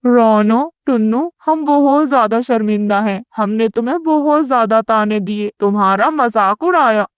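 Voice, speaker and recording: A remorseful cartoon crow acted by a woman with a high, clear voice. The delivery is earnest and apologetic, in a dry recording. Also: normal speed, no echo, natural voice. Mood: ashamed, pleading. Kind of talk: Hindi cartoon story dubbing.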